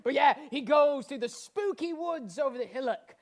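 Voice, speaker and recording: High-voiced woman directing a live comedy. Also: expressive, moderate pace, darkish tone. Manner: interrupting, repeats words and stumbles. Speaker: director-narrator of an improvised stage show. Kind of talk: live comic narration. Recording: live stage, faint music and audience.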